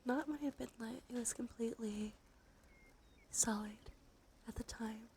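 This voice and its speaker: A woman doing gentle, unhurried ASMR in a breathy whisper and soft murmur, her nose slightly stuffy. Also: a little high, a little hiss or hum. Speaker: ASMR streamer, host. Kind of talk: ASMR, soft intimate role play and rambling. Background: soft music.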